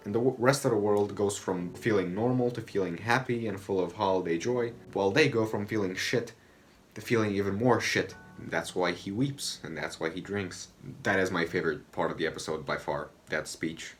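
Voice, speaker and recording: A man's voice: sits low, normal speed, faint music behind. A man from Romania, giving loose, rambling commentary on a TV episode from his home and swearing freely. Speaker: video essayist. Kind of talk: casual review commentary. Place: home room, indoors.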